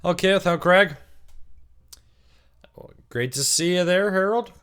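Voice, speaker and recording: A man hosting a casual weekly live-stream Q&A, greeting viewers and answering their questions, his voice a little rough from cheering. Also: high voice, a little slow, a bit bright. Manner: chatty, reads out viewer comments and greets them by name.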